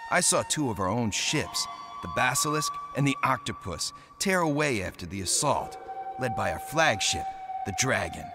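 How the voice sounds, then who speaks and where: A low-voiced young navy man turned pirate from a video game, brooding and cocky. He speaks in a wry, hard-boiled way with lively swings of pitch, over clear background music. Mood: bitter, determined. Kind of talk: video game cutscene narration and dialogue. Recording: music under the voice.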